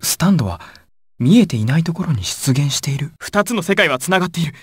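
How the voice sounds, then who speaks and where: An actor playing an intense, volatile young male gangster with a husky voice in dramatic video game dialogue. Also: fairly high pitch, very animated, fast, dry recording, studio quality. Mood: cool, measured. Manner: dramatic, swinging from controlled analysis to urgent shouting. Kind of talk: dramatic Japanese game dialogue and battle clips.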